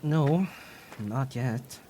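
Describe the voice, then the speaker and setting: An actress playing an anxious, insistent traveller in an audio drama, speaking hesitantly with stammers. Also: female voice, very deep voice, drawn-out words, warm tone, a little hiss or hum, full character voice. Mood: uneasy. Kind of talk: audio drama acting, naturalistic.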